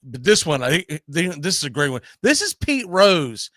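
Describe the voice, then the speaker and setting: A man's voice: a little high, big pitch swings, moderate pace, fairly bright tone, dry recording. A man hosting a live baseball history show, chatting casually and often repeating and rephrasing as he goes. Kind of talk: casual sports chat with co-host.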